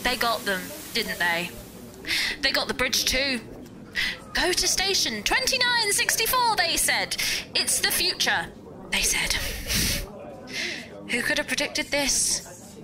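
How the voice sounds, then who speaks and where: A woman's voice: sits high, big pitch swings, slow, pauses often, heavily acted. A woman playing a bitter, frightened space station survivor in an amateur audio drama, dramatic in manner. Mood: bitter, despairing. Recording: crowd noise behind the voice.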